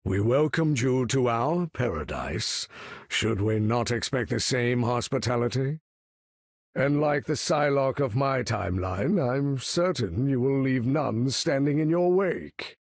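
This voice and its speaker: Game villain: proud mutant leader, grandiose, unhurried, husky. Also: male voice, mid-range voice, lively intonation, dry recording, studio quality. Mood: commanding, grave. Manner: grandiose, measured, theatrical delivery. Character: proud, menacing mutant leader, commanding supervillain with grand bearing. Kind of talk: video game character voice lines.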